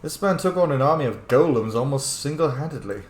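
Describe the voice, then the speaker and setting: A man's voice: mid-range voice, animated, fairly quick. A man giving casual gameplay commentary as a roleplay let's-play host, slipping into asides in character. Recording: roomy echo, faint background noise. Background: game ambience.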